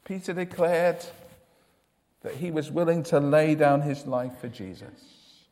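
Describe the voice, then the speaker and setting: A man with a loud, high voice preaches slowly and deliberately, stressing his points and often repeating words as he starts each thought. His words ring out with the long reverb of a large church hall. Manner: emphatic, repeats words when starting sentences. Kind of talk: sermon.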